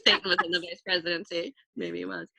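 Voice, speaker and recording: Woman guest, lower pitch, bright edge, dry humor, unhurried chat. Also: flat delivery, gravelly. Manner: measured, wry, chuckles, says "um" and repeats words. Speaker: guest commentator. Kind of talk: casual conversational commentary.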